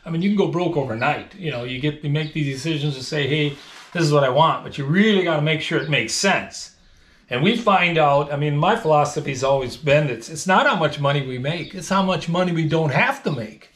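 A man's voice: fairly high pitch, animated, fairly quick, fairly bright tone. A man in his thirties, a dairy farmer, explaining things in a casual, plain-spoken, folksy way in a small indoor work room. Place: small tiled milk room with steel tank, indoors.

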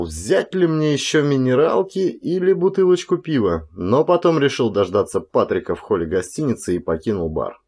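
A man reading a first-person story as an audiobook narrator, in an expressive, dramatic storytelling manner. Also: mid-range voice.

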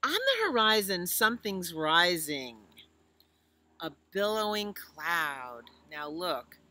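An American woman in her sixties reads to small children in a bright, slightly low-pitched voice. She takes her time, pausing to explain simply and to put questions to her young listeners. She is recorded outdoors. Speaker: children's librarian reading storytime. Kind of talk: reading a picture book aloud to young children, with chatty asides. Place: outdoors in a leafy garden.

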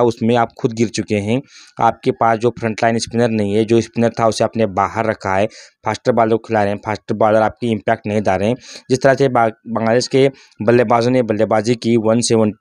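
Clear, even-toned man giving cricket analysis. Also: fairly low pitch, dry recording, clean studio sound. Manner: critical, rhetorical questions. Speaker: cricket commentator, channel host. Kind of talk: opinionated cricket analysis monologue.

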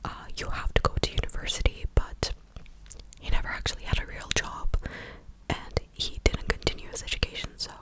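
A woman in her twenties whispering close to the mic in a breathy, casual, chatty ASMR style. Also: brisk, rough recording. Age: 20s. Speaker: ASMR video host. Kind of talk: whispered ASMR news recap. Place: small living room indoors. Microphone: large desk condenser mic, very close.

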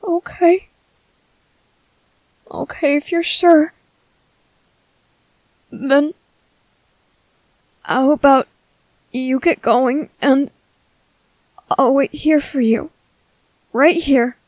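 A woman voicing a gentle, earnest young merman in a soft, halting, soothing ASMR roleplay. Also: high-pitched, dry recording, low-quality recording. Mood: reassuring.

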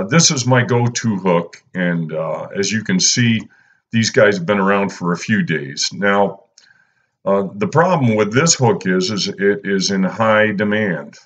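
A man, talking casually and unhurriedly through a fishing how-to as a channel host, filling pauses with "uh" and "you know". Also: deep voice, fairly bright tone. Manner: laid-back, lots of "uh" and "you know". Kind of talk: casual instructional voice-over.

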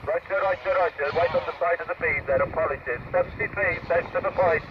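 Man, high pitch, two-way radio effect, dull lo-fi sound, clipped operator exchanges. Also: quick pace. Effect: two-way radio speaker. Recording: muffled, noisy shortwave-style radio reception.

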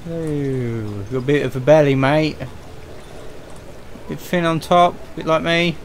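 A man's voice: a little high, unhurried, slight background noise. A man giving casual, chatty commentary as he plays a video game. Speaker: gaming video host.

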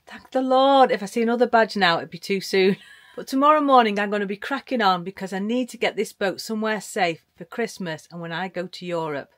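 A woman chatting casually to camera from a canal narrowboat, cheeky and quick to laugh. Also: mid-range voice, no room sound, studio quality. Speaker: narrowboat vlogger. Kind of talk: casual vlog chat. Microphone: action camera at arm's length.

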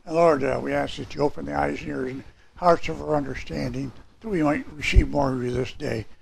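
A man in his sixties with a hoarse, raspy voice, preaching a sermon and reading scripture in a small church hall. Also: fairly high pitch, brisk. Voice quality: raspy, gravelly. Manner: gesturing, reading scripture aloud from papers. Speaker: pastor.

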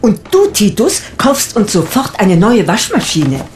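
An actress playing a brisk, commanding middle-aged aunt in a radio play. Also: female voice, medium pitch, wildly swooping pitch, a little mellow, slight background noise. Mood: bossy. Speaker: aunt Mathilda.

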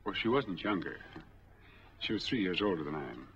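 An American actor in his forties playing a smooth, easygoing drifter in a 1940s film drama. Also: sits low, lively intonation, fairly quick, a bit bright, a little hiss or hum. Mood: earnest, measured. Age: 40s. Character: smooth, easygoing drifter applying for bank money. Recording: dull old 1940s film soundtrack.

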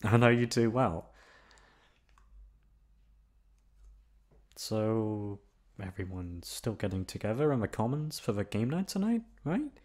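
Man acting as a low-voiced, playful college guy. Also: lively intonation, normal speed, a little mellow. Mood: casual, friendly. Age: young adult.

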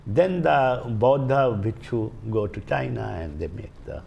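An older man with an Indian accent explains as an interview guest in a studio, haltingly, searching for words and repeating phrases. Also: medium pitch, sing-song, vocal fry, darkish tone, dull audio, a little hiss or hum. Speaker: guest, Vastu healer. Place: small indoor TV studio. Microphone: lapel mic.

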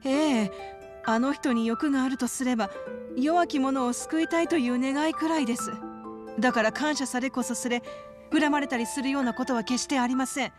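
A woman playing a gentle, devout caretaker at a religious children's home in a game, speaking politely and measuredly. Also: sits high, fairly quick, music in the background, natural voice. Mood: earnest, composed. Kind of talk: scripted Japanese video game dub.

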